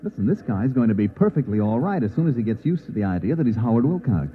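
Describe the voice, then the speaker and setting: Man playing quick, wry American reporter, old radio. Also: mid-range voice, big pitch swings, natural voice. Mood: wry, defensive. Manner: smooth-talking, wry, reassuring. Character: easygoing, protective newspaper reporter narrating his own story. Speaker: actor in a radio play. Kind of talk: old-time radio drama acting. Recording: tinny narrow-band vintage radio, noisy.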